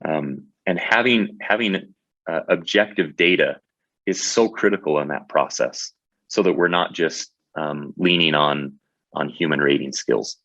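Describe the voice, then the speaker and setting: A man's voice: very deep voice, measured pace, sharp tone, no room sound, highs cut off. A man presenting a webinar in a conversational way, often restarting his sentences. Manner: conversational, with many fillers and restarts.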